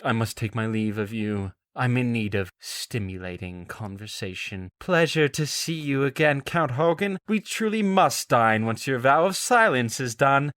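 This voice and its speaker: A pompous nobleman of a fantasy audio drama, acted by a man, talks in a florid, affected way. The sound is dry, and the pitch sits in an ordinary male range. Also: animated, unhurried, hardly any pauses, fairly bright tone, no echo. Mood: breezy, haughty. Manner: haughty, affected, florid speech.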